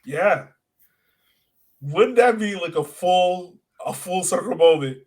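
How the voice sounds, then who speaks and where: Male podcast host, fairly high register, loose conversational delivery, question-driven casual sports talk. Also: expressive, slow, darkish tone.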